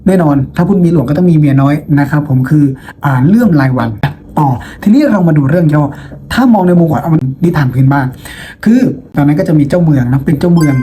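A man's voice: on the high side, fast, warm tone. A man teaching in an informal, playful storytelling style, chatting to students and often posing rhetorical questions. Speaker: teacher and host of a lesson video. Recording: noticeable background noise.

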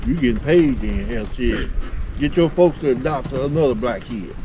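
A man's voice: medium pitch, animated, normal speed. Southern man chatting on a noisy boat. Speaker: angler. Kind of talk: casual chat while fishing. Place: outdoors on a small boat on a lake. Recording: clear outdoor noise on boat.

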